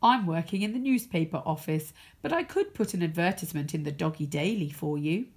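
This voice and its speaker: Woman as a calm, sensible storybook dog friend. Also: fairly low pitch, brisk, close to an everyday voice. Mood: apologetic. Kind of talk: children's storybook character reading.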